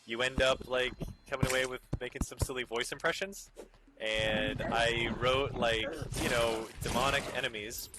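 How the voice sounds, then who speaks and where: A man's voice: medium pitch, fairly even intonation, normal speed, very noisy. Easygoing man chatting over gameplay. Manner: easygoing, reacts aloud to the game. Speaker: let's play host. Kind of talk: casual gameplay chat. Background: game music.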